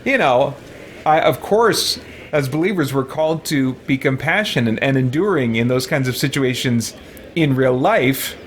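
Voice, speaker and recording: A man hosting a gaming video, giving casual, rambling and reflective commentary in an expressive way. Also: mid-range voice, normal speed, slight background noise. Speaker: gaming video host, roleplayer. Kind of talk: casual chatty game commentary.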